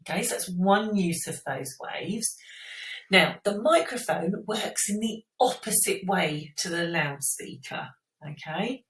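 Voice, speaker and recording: A woman with a fairly low voice teaches in an informal, step-by-step way. She sounds a little far from the microphone, in a roomy space with noticeable echo. Her delivery is that of a teacher explaining a revision lesson and checking that pupils follow. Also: a little slow, fairly bright tone. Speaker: science teacher.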